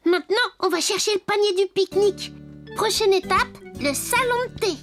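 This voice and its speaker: Very high-pitched puppet boy. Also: fairly quick, a bit bright. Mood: eager. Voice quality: childlike. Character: cheerful, earnest little wooden puppet boy, taxi driver. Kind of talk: French children's cartoon dubbing. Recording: music behind the voice.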